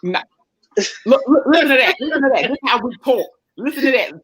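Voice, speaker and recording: A woman's voice: high voice, quick pace, a crowd in the background. Teasing Southern woman, casual host. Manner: animated, teasing, calls everyone "girl". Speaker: host of a live chat show. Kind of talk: casual chat.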